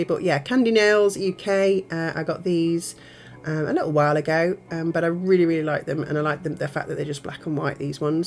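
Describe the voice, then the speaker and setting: Female tutorial host, low and nasal, stuffed up with a cold, chatty with giggly asides. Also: darkish tone. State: has a cold. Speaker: nail art channel host. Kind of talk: casual tutorial narration.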